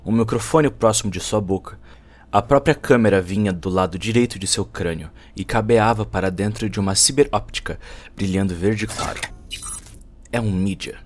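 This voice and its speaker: A man's voice: on the low side, normal speed, a little hiss or hum. A man with a Brazilian accent narrating a cyberpunk short story as a scripted, dramatic audio production. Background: faint music.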